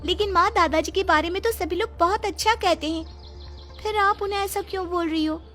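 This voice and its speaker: Woman as a high-voiced, bold young girl. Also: fairly quick, a bit bright, soft background music. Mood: questioning. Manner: confident, commanding. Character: bold, determined elder sister, a young girl. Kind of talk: Hindi cartoon voice acting.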